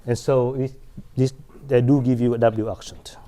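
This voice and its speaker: A man's voice: medium pitch, level tone, dark tone. A middle-aged man lecturing on mathematics at a chalkboard in a large hall, with many fillers and restarts. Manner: halting, many restarts and fillers, asks "right?".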